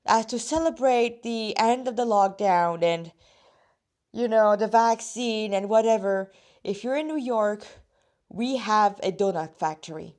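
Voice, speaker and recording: A woman's voice: medium pitch, measured pace, a little mellow. A woman with a slightly rusty voice reading her own story aloud, casually and with rambling asides, often breaking off mid-sentence.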